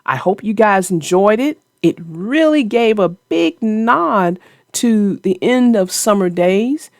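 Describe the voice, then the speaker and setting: A woman's voice: medium pitch, expressive, slow, no echo, clean studio sound. A woman hosting a children's read-aloud, speaking as a storyteller to young listeners. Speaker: children's storybook narrator and channel host.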